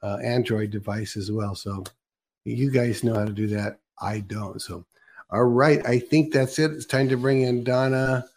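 A man hosting a live online broadcast, chatting to viewers in a loose, rambling way. He stumbles, restarts and fills pauses as he goes, at an even middle pitch. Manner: rambling, hesitant with many restarts. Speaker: show host. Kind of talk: casual broadcast talk.

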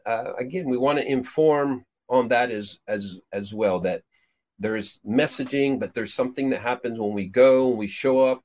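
A middle-aged man raised in Peru, hosting a casual talk show about his ministry trip, rambling through many restarts and fillers. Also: medium pitch. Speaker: prophetic minister and show host. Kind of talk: casual chat.

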